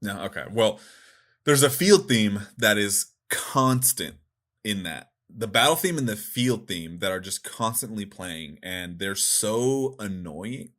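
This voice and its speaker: A man chatting casually as a podcast co-host, with an animated, informal manner. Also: fairly low pitch, slow pace. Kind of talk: casual podcast chat about video games.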